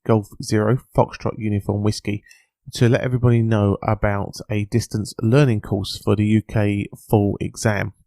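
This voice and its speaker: A British man hosting a podcast in an informal, halting way, with frequent filler sounds as he presents and interviews. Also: lowish voice, a little mellow, no room sound. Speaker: podcast host and news presenter.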